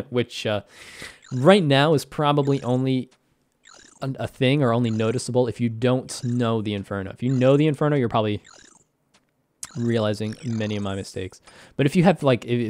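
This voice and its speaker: A young man with a slightly hoarse voice talks over his gameplay in a loose, rambling way. He is often self-deprecating and laughs easily, and his pitch sits in an ordinary middle range. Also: brisk, darkish tone. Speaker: gaming commentator. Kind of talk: casual game commentary.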